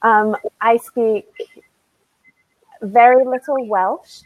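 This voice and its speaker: A woman with an American accent, an online English tutor chatting through a casual lesson on a video call, unhurried and laughing lightly between sentences. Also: on the high side, fairly even intonation, dry recording, phone-quality sound. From United States.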